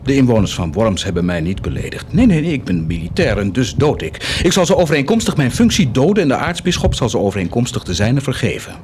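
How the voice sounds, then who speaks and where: A man plays a cynical, ruthless army commander in a radio drama. His mid-pitched voice swings widely and theatrically, full of teasing irony, with faint noise behind it. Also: very animated, quick pace, few pauses, darkish tone, natural voice. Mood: cold, ruthless. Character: mocking, ruthless military commander, playful and cynical. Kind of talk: radio play acting.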